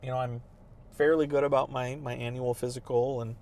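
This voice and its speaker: A man's voice: medium pitch, flat delivery, brisk, over a noisy crowd. A man in his thirties, a priest chatting casually, rambling and restarting his sentences often. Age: 30s. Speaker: priest guest. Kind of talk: casual chat.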